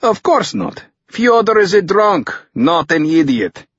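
A man voicing a helpful, matter-of-fact priest who translates in measured, in an audiobook. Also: high-pitched, big pitch swings, a bit bright, husky, dry recording, lo-fi sound, pitched above the performer's own voice, full character voice. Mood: dry, businesslike.

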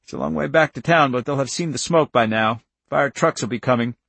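A man's voice: medium pitch, a little fast, vocal fry, a bit bright, husky, no room sound. A man voicing a wounded older farmer in an audiobook story, plainspoken, stern and stubborn, with folksy country talk. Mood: resolute. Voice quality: breathless.

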